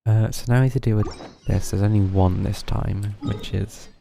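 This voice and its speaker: A man's voice: fairly low pitch, steady tone, moderate pace, gravelly, mellow, slight background noise. A man giving casual live game commentary, thinking aloud in a tentative way. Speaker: game streamer. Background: game music.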